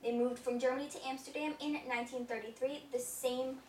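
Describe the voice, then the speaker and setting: A woman's voice: high-pitched, steady tone, fairly quick, darkish tone. A young woman co-anchoring an amateur mock newscast in a theatrical, scripted style. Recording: echoey room, distant, some noise.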